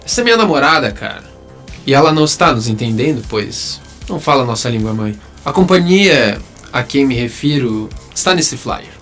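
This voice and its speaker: Audio drama, male, played by an actor: cheeky, breezy rogue, medium pitch, music behind. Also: very animated, normal speed, a bit bright. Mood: breezy, teasing. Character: Zigerman, breezy roguish young man, cheeky friend.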